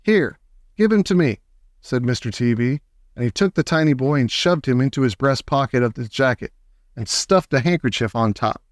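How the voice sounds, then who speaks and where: A man reading a children's storybook aloud as an expressive audiobook narrator. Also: mid-range voice, fairly quick, dry recording, studio quality.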